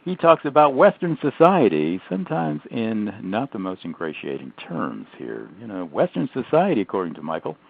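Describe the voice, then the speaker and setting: A man hosting a radio talk show, introducing his guest in an emphatic, animated way with frequent fillers. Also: on the low side, normal speed, creaky, few pauses, breathy edge. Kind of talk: radio interview with lively promotional delivery. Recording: tinny phone-like audio.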